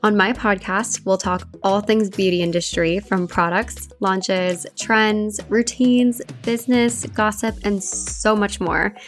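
A woman's voice: medium pitch, fairly even intonation, slow, few pauses, soft background music. Upbeat woman podcast host. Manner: upbeat, enthusiastic. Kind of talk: scripted intro, then casual interview.

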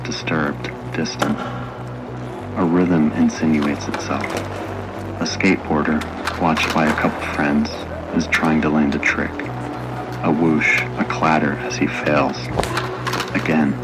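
A man narrating an audio play from a script in a terse, measured way. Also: extremely low pitch, flat delivery, loud music behind the voice, rough recording. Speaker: narrator reading screenplay action lines.

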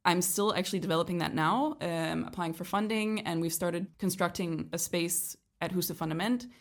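A young woman raised in Canada, chatting as a podcast guest about her work, often pausing with ums and restarting sentences. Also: on the low side. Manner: hesitant, many ums and restarts. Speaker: guest, project leader. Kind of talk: casual interview chat.

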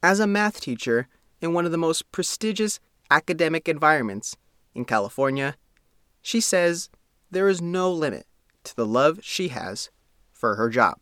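A man reads a scripted feature story with very animated intonation. His voice sits a little high, and the recording is dry and close. The delivery is measured and formal, like a reporter presenting a profile. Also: no room sound. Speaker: narrator, reporter reading own profile.

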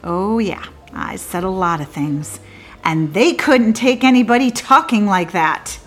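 An actress playing a disillusioned woman lawyer in a theatrical monologue, confiding in a halting, wry and bitter way with abrupt swings. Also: medium pitch, expressive, a bit bright. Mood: bitterly incredulous.

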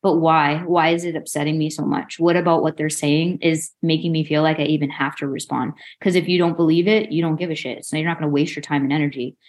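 A woman of forty with a low-pitched voice speaks as a coach being interviewed. Her delivery is frank and informal, like an unguarded chat. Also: steady tone, fast, hardly any pauses, a little mellow. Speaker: mindset coach, interview guest.

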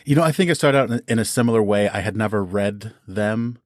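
A man with a fairly low voice talks as a guest on a podcast. He explains his thoughts conversationally, articulate and enthusiastic, in a dry, close-sounding recording. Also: fast talker, no echo. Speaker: storyteller and podcast creator.

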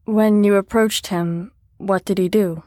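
A woman acting a firm, measured care worker questioning a patient in an audio drama. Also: mid-range voice, steady tone, mellow, no room sound, clean studio sound, natural voice. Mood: patient, probing.

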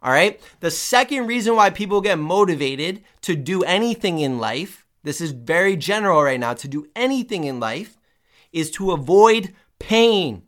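A man's voice: sits high, lively intonation, moderate pace, a bit bright. A man hosting a fitness podcast, talking with emphatic energy and repeating key points, sounding a little nasal while getting over the flu. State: recovering from the flu. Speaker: podcast host. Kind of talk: motivational fitness podcast talk.

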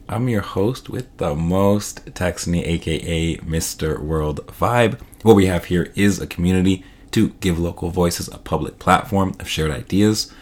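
A man hosting his own podcast in a casual, upbeat solo talk, his voice slightly hoarse. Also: deep voice. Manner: casual, upbeat, loose filler-heavy delivery. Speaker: podcast host.

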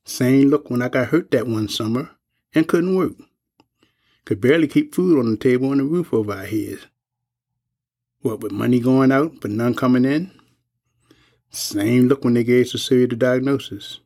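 A man's voice: mid-range voice, moderate pace, pauses often, a little mellow, close to an everyday voice. An actor playing a world-weary old working-class man in an audio drama, speaking in a folksy, plain-spoken, ominous way. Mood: knowing, wistful.

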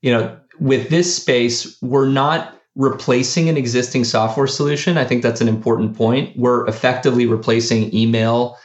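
Halting founder, roomy dull recording. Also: male voice, mid-range voice, steady tone. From United States. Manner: halting, many fillers and restarts. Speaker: company founder and CEO. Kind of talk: interview answers.

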